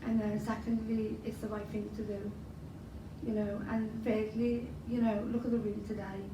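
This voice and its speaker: A woman's voice: mid-range voice, flat delivery, moderate pace. A young woman with a Scouse accent, a poet answering interview questions in a chatty way with many fillers, whose first language was Arabic. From Liverpool, England. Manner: chatty, frequent fillers and you-knows. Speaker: interviewee, spoken word poet. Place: large event hall. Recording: roomy hall recorded from a distance with noise.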